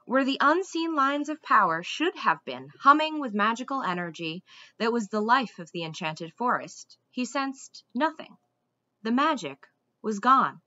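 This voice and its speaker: A young adult woman reading a storybook aloud like a teacher, with deliberate, dramatic phrasing. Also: fairly high pitch, sing-song, pushed and bright, dry recording, muffled recording. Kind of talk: expressive storybook read-aloud. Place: small room indoors at home.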